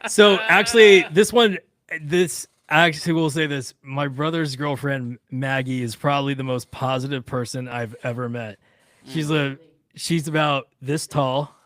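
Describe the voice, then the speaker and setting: A man's voice: mid-range voice, a little slow, a bit bright, no room sound. Bantering man in casual panel chat, dry sound. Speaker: talk show panelist.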